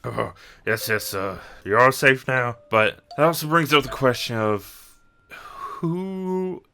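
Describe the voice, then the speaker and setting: Man as polite creature team leader, roleplay game: hesitant, courteous, crisp voice. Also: medium pitch, takes it slowly, pushed and bright. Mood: flustered, courteous.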